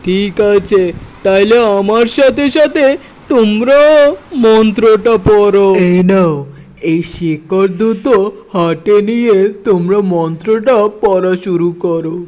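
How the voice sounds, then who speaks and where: A woman voicing a patient village healer in a children's cartoon, cautioning and deliberate in manner. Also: medium pitch, warm tone, lightly acted. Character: patient village healer teaching magic spells. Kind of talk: children's cartoon story voice acting.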